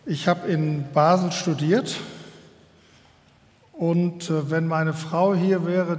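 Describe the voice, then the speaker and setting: A German man giving a public lecture to an audience, in a measured and earnest way, often pausing to find his words. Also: on the high side, a little mellow. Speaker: guest speaker. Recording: long hall reverb.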